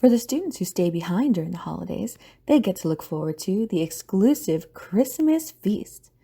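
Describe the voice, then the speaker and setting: A woman with a husky voice reading scripted audio drama narration as the narrator and host. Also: mid-range voice, sing-song, normal speed, mellow.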